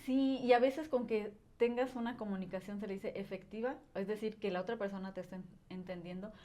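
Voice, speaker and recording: A woman's voice: medium pitch, steady tone, a little fast, dark tone. Woman explaining at length. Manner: explains at length, thinking aloud with filler words. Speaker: guest, language educator. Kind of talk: casual conversation.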